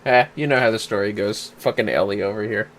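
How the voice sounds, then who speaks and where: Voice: medium pitch, fairly quick. A streamer chatting casually and playfully while playing a game, with some swearing.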